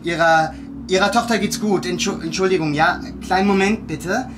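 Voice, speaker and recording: A man's voice: high voice, moderate pace, noisy background, lightly acted. An actor playing an impulsive, cheeky and nervy young male schemer in a naturalistic audio drama. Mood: flustered, polite.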